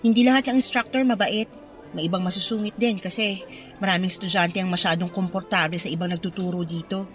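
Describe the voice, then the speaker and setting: A woman's voice: lowish voice, steady tone, quick pace, lightly acted. A woman playing a curt, resentful young college student in a radio drama, with cold, blunt politeness. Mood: cool, distant. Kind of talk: radio drama acting in Filipino. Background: music bed.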